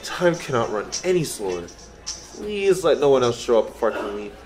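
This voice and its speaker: A man playing a sardonic, put-upon young fast-food cashier in an audio drama. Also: mid-range voice, big pitch swings, a little mellow, reverberant, in a large hall, faint music behind, natural voice. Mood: sour, dreading. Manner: sarcastic, exasperated. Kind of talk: audio drama acting with inner monologue.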